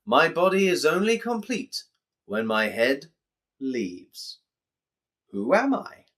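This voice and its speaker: A smug, crooked fairground bookmaker from a children's tale, as acted by a male storyteller. He speaks deliberately and slyly, with pitch that swings up and down as if setting a riddle. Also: sing-song, a bit bright, exaggerated voice. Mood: smug, teasing.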